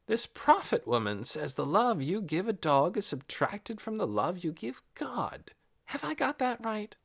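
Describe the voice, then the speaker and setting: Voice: medium pitch, big pitch swings, a little slow, gravelly, slightly rough, pitched above the performer's own voice, full character voice. A narrator voicing a cautious, practical grown woman in an audiobook, skeptical and wry. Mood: puzzled.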